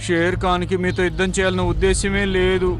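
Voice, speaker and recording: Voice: mid-range voice, little pitch movement, fast talker, music in the background, lightly acted. An actor voicing a mischievous young talking parrot in a children's cartoon, with exaggerated delivery. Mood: remorseful.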